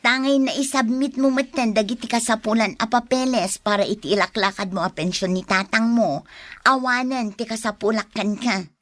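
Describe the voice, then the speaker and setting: A woman's voice: on the high side, moderate pace, fairly bright tone, no echo, close to an everyday voice. A woman playing a blunt, exasperated young daughter in a radio drama, with a curt manner and an Ilocano accent.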